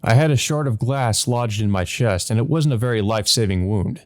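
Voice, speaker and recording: A man's voice: mid-range voice, brisk, a little mellow. A man narrating a visual novel as a let's play host, reading aloud and adding hesitant commentary of his own.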